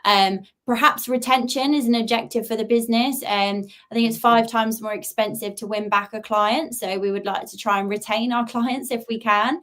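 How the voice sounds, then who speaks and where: A woman's voice: on the high side, fairly even intonation, normal speed, few pauses, fairly bright tone. A woman speaking as a guest expert in a webinar, explaining her advice in an encouraging, conversational way.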